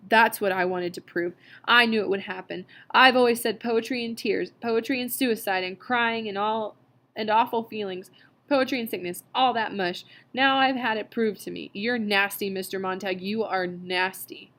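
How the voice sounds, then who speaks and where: A woman's voice: medium pitch, normal speed, close to an everyday voice. A woman voicing a prim, indignant middle-aged housewife who whines and scolds.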